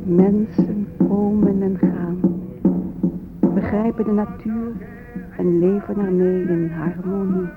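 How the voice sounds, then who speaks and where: An actor playing a solemn Native storyteller who recites his people's memory in measured, incantatory verse for a radio play. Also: sits high, flat delivery. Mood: solemn, reverent. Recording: tinny phone-like audio under prominent music.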